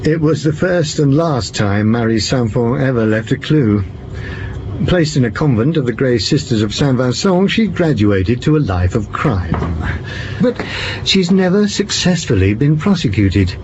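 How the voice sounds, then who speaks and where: An actor playing a shrewd, theatrical French police commissioner in an audio drama, pompous and teasing as he deliberates. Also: mid-range voice, animated, lightly acted. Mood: ominous, deliberate. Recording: muffled, with background noise.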